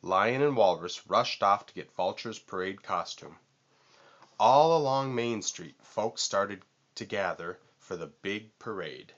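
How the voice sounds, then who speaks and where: A man reading a children's story aloud in an expressive, deliberate manner. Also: lowish voice, dull audio. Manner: deliberate, animated. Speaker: storybook reader.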